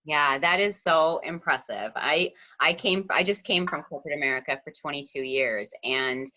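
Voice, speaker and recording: A woman's voice: low-pitched, normal speed, bright, forceful tone, muffled recording. A woman hosting a podcast interview, enthusiastic and effusive with her guest. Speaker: podcast host.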